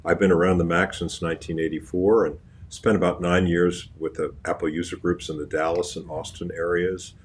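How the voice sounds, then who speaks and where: A retired man in his seventies speaking as a podcast panelist, plain and unhurried. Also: deep voice, level tone, slight background noise. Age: 70s. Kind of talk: casual panel chat.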